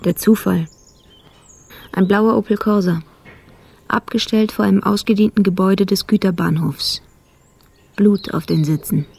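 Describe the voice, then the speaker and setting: An actress playing a sober police investigator who recounts a murder case in clipped, factual phrases, in a radio drama. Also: female voice, mid-range voice, steady tone, a little slow, vocal fry, pauses often, darkish tone, breathy edge. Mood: sober, reporting. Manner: clipped, factual, report-like delivery. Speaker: actor in radio play. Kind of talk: scripted radio drama narration.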